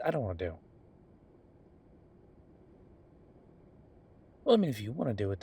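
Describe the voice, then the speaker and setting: A man playing an easygoing, devoted boyfriend who is a small-town chef, talking casually and haltingly in an intimate, reassuring roleplay audio. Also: mid-range voice, fairly bright tone, slightly rough. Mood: hesitant. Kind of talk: intimate conversational roleplay acting.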